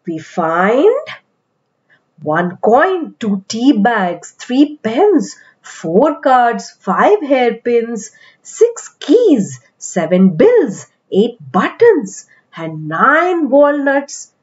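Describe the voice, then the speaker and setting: A woman with an Indian accent reading aloud to young children as a storytelling host, with deliberate, expressive phrasing. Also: on the high side, dull audio. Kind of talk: animated picture-book read-aloud for kids.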